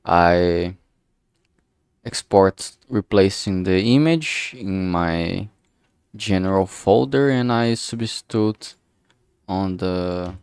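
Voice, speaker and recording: Slow, low-voiced man with some vocal fry. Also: darkish tone, no echo, clean studio sound. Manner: halting, thinking aloud to himself. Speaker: streamer making a visual novel. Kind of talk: casual solo livestream chat while working.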